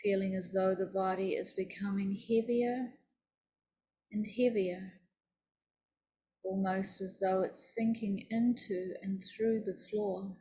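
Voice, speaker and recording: A woman teaching yoga nidra, leading a guided meditation in a soothing, deliberate, instructive manner. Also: medium pitch, dark tone, rough recording.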